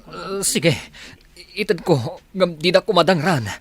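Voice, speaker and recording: A man's voice: sits high, measured pace. A man with a Filipino accent playing a meek, lovelorn young man in a radio drama. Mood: frightened, pleading.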